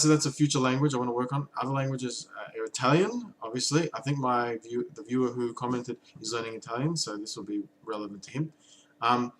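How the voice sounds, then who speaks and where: A man's voice: mid-range voice, fairly bright tone, poor audio. Man, mid-range voice, casual hesitant vlog delivery, faint noise behind. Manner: thoughtful, hesitant, many ums and restarts. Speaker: language learning vlogger. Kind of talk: casual talk to camera.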